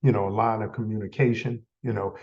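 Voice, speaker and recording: A man with a cold, sounding a little stuffy, leading a members' meeting in a halting, filler-heavy way. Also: lowish voice, fairly even intonation, darkish tone, dull audio. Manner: halting, many fillers and restarts. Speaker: association leader running a meeting. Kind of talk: casual meeting update.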